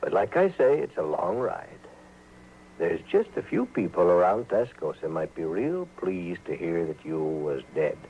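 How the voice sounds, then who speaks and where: Crooked frontier sheriff, folksy menace, dull and faintly hissy old radio recording. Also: male voice, sits low, very animated, measured pace, warm tone, full character voice. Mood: veiled menace. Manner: folksy, slyly threatening. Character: corrupt, smooth-talking, menacing frontier sheriff. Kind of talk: old-time radio western acting.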